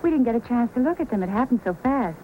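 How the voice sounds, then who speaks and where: An actress playing a spirited, poised music hall performer in an old-time radio drama, speaking theatrically. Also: female voice, a little high, expressive, fast, phone-quality sound, lightly acted. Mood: bright, sociable. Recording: faintly noisy old radio recording.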